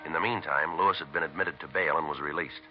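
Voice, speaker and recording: A terse American detective in a vintage radio crime drama. He has an extremely low voice and talks briskly, in clipped, matter-of-fact facts. The recording is muffled, with music clearly present. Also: steady tone. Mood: flat, factual. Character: terse, methodical male police detective sergeant and narrator.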